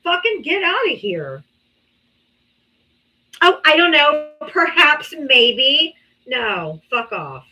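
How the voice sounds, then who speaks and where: A woman's voice: high-pitched, very animated, takes it slowly, long pauses, bright, forceful tone. A woman hosting a live political debate stream, blunt and scolding, swearing freely at callers.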